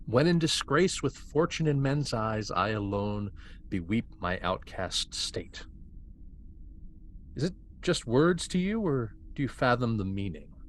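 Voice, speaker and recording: Obsessive military scientist, male. Arrogant, condescending, very animated pitch. Man acting at a table read. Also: unhurried, a little hiss or hum. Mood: musing. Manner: dramatic, condescending.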